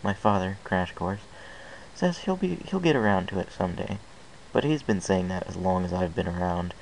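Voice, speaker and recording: A man's voice: low voice, lively intonation, moderate pace, creaky, a little hiss or hum, low-quality recording. A man reading a fan fiction story aloud in the first person, in an earnest, plain way with small restarts.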